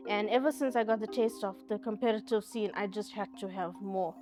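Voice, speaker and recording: A woman's voice: mid-range voice, fairly quick. A woman with a South African accent, a competitive gamer talking in a documentary interview. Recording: music playing behind the voice. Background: background music.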